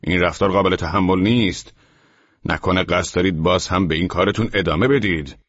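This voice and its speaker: A man's voice: sits low, steady tone, fairly bright tone, husky. A man voicing a tall, gaunt, indignant neighbor who scolds with formal menace in an audiobook. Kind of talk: audiobook character voice. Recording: dull, muffled, echoing room recording.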